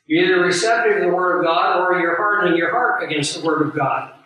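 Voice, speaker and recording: Male preacher, hoarse and scratchy, high and bright, earnest and emphatic, heard from a distance. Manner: earnest, emphatic, unhurried teaching with pauses. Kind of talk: sermon teaching with live audience. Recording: very echoey room, voice far from mic.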